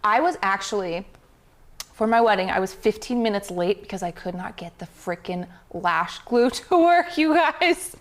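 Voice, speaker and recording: A young woman hosting a livestream, a hairdresser and makeup artist, talking brightly and addressing her viewers. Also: medium pitch, lively intonation, moderate pace. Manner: bubbly, addresses viewers as "you guys".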